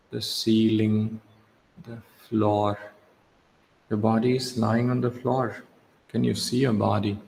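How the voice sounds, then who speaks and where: A man leading a guided relaxation as a yoga instructor, speaking in short, measured instructions with long pauses, recorded in a reverberant room. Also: lowish voice, vocal fry, darkish tone. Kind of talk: slow guided meditation. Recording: echoey reverberant room, faint background hiss.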